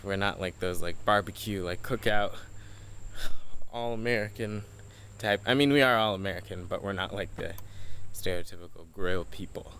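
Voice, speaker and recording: A teenage boy talks to his handheld camera at home, partly outside on a deck. His voice sits low for his age, and he rambles, chatty and quick to laugh at himself. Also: fairly bright tone, smooth. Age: teens. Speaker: high school senior vlogger. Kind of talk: casual video diary.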